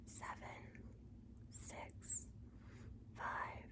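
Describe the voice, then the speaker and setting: A woman doing a soft-spoken ASMR nurse roleplay, mostly in a breathy whisper, with a gentle, reassuring manner. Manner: gentle, reassuring, slow and deliberate. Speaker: ASMR performer playing a school nurse.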